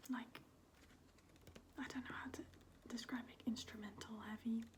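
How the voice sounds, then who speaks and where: A woman whispering in a breathy, unvoiced voice, chatting casually and hesitantly in an ASMR style as a video host. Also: on the high side, little pitch movement, moderate pace. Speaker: video host showing a record collection.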